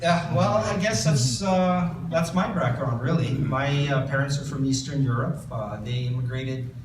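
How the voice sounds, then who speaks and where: A man's voice: medium pitch, normal speed. A Canadian man, an author, reading from his book and then answering audience questions at a bookstore event, with many fillers and restarts. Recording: roomy distant recording with background noise.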